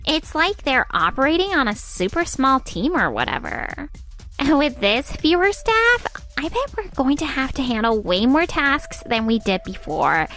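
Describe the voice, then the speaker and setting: An actress performing a spoiled, smug young woman in a romcom manga dub. Her voice is high and swings widely as she talks in dramatic, casual phrases. Soft music plays underneath. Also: huge pitch swings, a little slow, full character voice. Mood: casual, uneasy. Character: haughty young woman saleswoman, spoiled executive's daughter. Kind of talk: animated manga dub voice acting.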